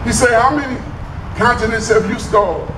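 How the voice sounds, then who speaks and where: High-voiced man speaking earnestly, echoey and distant. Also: fairly quick. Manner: earnest, emphatic, repeats words. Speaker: guest speaker giving a tribute. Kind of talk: personal spoken tribute to an audience. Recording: echoey distant recording with clear background noise.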